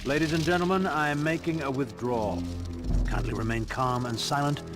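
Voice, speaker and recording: A man's voice: medium pitch, steady tone, brisk, over loud music, lightly acted. A man playing a vengeful older scientist turned supervillain in a video game, speaking in formal, grandiose language. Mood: menacing, haughty. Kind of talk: dramatic video game cutscene acting.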